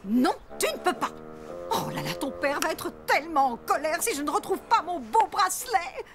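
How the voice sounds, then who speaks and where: A woman's voice: very high-pitched, big pitch swings, normal speed, exaggerated voice. A woman voicing a fretful, irritable elephant mother in a French children's cartoon. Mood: irritated, fretful. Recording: music behind the voice.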